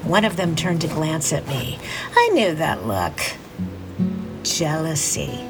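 A woman's voice: sits low, vocal fry, full character voice, rough recording. A woman playing a guarded, self-deprecating divorced businesswoman in middle age, speaking dryly and confidingly in an audio drama. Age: middle-aged. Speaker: lead actress and narrator. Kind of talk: confessional first-person narration and dialogue in audio drama.

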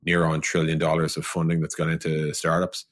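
Very low-pitched man talking business informally. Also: monotone, fairly quick. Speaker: tech company executive and podcast co-host. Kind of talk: conversational business discussion.

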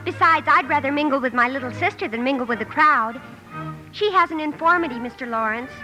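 Young girl, high voice, affectedly elegant, excitable, old radio drama, music behind. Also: brisk, poor audio. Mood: prim, excited. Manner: affected, prim elegance in speech. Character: proper, excitable young girl who misuses fancy words. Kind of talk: 1950s radio drama acting.

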